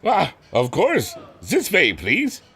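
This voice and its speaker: An actor playing an earnest, proud inventor with a formal, theatrical manner in a pulp audio drama. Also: male voice, on the high side, huge pitch swings, slow, fairly bright tone, heavily acted. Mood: proud, excited.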